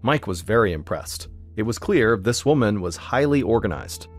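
Male narrator, low voice, steady suspenseful telling over faint music. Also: moderate pace. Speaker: podcast host narrator. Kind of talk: scripted storytelling narration.

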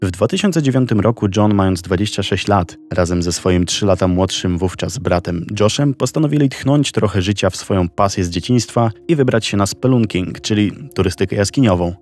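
A man narrating a documentary story in a slow, measured scripted style. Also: on the low side, animated. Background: soft background music.

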